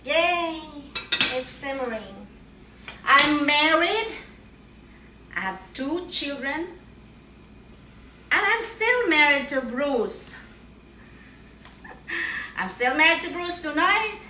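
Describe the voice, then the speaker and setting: A woman's voice: high-pitched, lively intonation, very slow, long pauses, background noise. A middle-aged woman cheerfully demonstrating a home recipe in a casual, joking way, recorded from across her kitchen. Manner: playful, jokey, cheery "ta-da" exclamations. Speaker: home cook vlogger. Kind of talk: casual cooking demo. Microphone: far camera. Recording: roomy kitchen echo, distant mic, faint kitchen clatter.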